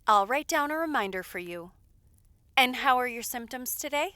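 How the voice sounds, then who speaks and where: Woman playing a bright, high-voiced fussing maid. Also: lively intonation, normal speed, smooth, no echo, studio quality. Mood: caring, fussing. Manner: attentive, gently scolding, politely familiar. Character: caring, fussing, loyal young lady's maid. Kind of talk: audio roleplay acting.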